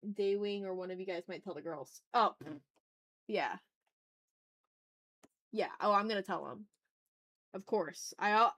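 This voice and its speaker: A woman with a mid-pitched voice chats casually as the host of a drawing stream. She is candid and informal, talking through her thoughts out loud and dropping curse words freely. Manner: informal and candid, swears freely, thinks aloud. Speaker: art livestream host.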